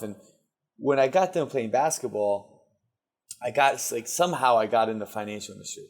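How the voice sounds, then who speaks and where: A man with a slight New York-like accent, a coach appearing as a podcast guest. His voice sits at an average pitch, and he talks loosely and conversationally, filling his sentences with "like" and "you know.". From Milford. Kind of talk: casual interview storytelling.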